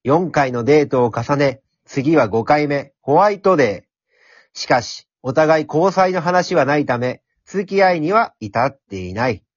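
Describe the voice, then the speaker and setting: A man voicing a brash, cheeky talking pencil in an amateur radio drama, speaking in rough, cocky, encouraging slang. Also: on the high side, lively intonation, unhurried, no echo, muffled recording. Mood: cheeky, teasing.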